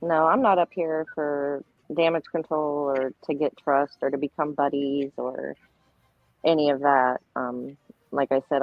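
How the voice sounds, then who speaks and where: A woman's voice: low-pitched, gravelly, no room sound. A woman speaking as a guest in a casual livestream chat. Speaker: panel guest. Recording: tinny phone-like line, music underneath.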